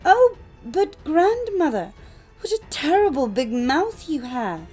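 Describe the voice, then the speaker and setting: A woman's voice: high voice, very animated, takes it slowly, darkish tone, soft background music, voice pushed up, full character voice. A woman voicing a trusting, innocent little girl in a fairytale audiobook, with a naive, earnest, polite manner. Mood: amazed, alarmed.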